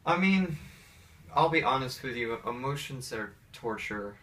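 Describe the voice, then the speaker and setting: A man's voice: mid-range voice, animated, unhurried, pushed and bright. A man in his thirties with an American accent, talking casually and hesitantly in a rambling, personal way as he answers interview questions. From Atlanta, United States. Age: 30s. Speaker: interviewee, pianist. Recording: distant mic with faint background noise.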